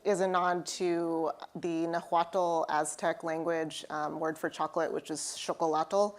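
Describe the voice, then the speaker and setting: A woman who owns a chocolate shop, explaining at a live panel discussion in a measured way. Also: low voice, steady tone. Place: live event venue.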